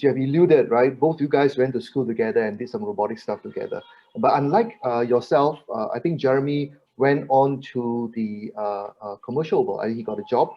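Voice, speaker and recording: A man moderates an online panel in a halting way, full of fillers and repeated words, often ending with a questioning "right?". His voice is mid-pitched. The audio is dull, like a video call. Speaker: webinar moderator. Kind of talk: hosting a panel webinar.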